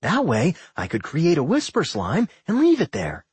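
A narrator voicing an eager, blunt slime creature in a fantasy audiobook, guileless and matter-of-fact, with a husky voice. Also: medium pitch, huge pitch swings, normal speed, darkish tone, no echo, voice pushed up, exaggerated voice. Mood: calm, unapologetic.

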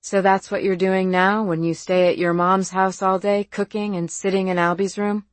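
A woman's voice: medium pitch, little pitch movement, normal speed, no echo, lightly acted. Audiobook role, read by a female narrator: young woman, concerned and persistent, earnest coaxing delivery, studio-dry. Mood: hurt, challenging. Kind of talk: audiobook character voice.

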